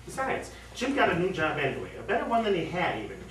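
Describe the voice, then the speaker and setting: A man's voice: a little high, wildly swooping pitch, fast, fairly bright tone. A man playing a disgruntled, sarcastic husband at a wedding in an amateur stage play. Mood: grumbling. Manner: complaining, exasperated, sarcastic. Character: disgruntled husband and garage boss, sulky wedding guest. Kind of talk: amateur one-act stage play acting. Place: indoor theatre studio, heard from afar. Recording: roomy distant stage recording, faint noise.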